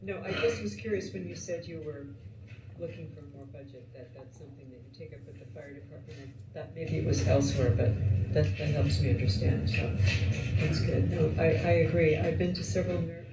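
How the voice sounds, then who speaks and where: A man's voice: a little high, brisk. A man, a city commissioner, offering friendly, rambling comments at a public meeting. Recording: distant, echoey mic with loud room noise.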